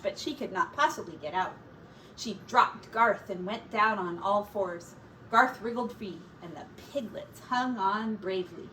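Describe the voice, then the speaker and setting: A woman teacher reading a children's story aloud with expressive, deliberate dramatic emphasis. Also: mid-range voice. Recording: distant mic with faint room noise.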